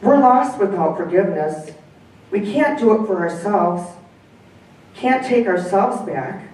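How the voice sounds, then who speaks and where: A woman's voice: mid-range voice, lively intonation, unhurried, darkish tone, far from the mic, close to an everyday voice. A woman reading the part of a regretful middle-aged man talking with his dog, heard from afar in an echoey room. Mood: reflective. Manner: weary, conceding. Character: rueful middle-aged widower, gruff, regretful.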